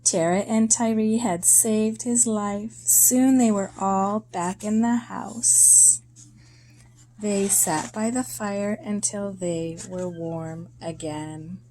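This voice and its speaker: A woman reading a children's story aloud in a deliberate, expressive way, in short phrases with pauses. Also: mid-range voice, a little hiss or hum, poor audio.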